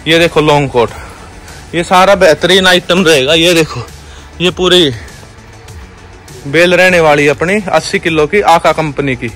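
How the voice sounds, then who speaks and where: A young man giving a repetitive, pushy sales pitch for wholesale coats in a big indoor warehouse, filmed on a handheld phone. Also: a little slow, pauses often, a bit bright, soft background music. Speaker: wholesale clothing seller. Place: large indoor clothing warehouse.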